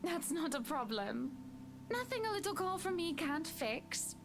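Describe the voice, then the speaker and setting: A woman's voice: high-pitched, big pitch swings, a little slow, over music, heavily acted. A woman playing a wealthy, genteel married socialite who is sweetly bossy and polite, in a visual novel. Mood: gracious.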